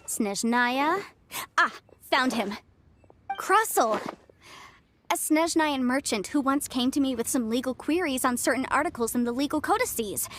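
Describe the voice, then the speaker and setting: A woman's voice: sits high, a bit bright, heavily acted. A woman voicing a talkative, confident young female legal advisor in a video game, with a breezy, businesslike manner. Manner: talkative, brisk, polished, breezy. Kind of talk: video game character acting.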